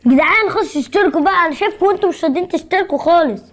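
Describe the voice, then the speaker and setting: A woman's voice: extremely high pitch, rapid-fire, a bit bright. A woman with an Egyptian Arabic accent giving casual gameplay commentary, teasing and boasting as a channel host.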